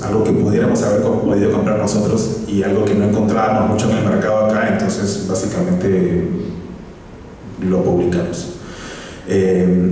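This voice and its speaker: A man's voice: on the low side, mellow, a little hiss or hum. A man, a comic book writer speaking on a conference panel, hesitant, with many fillers and restarts. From Peru. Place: conference hall. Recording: echoey hall, voice from far off.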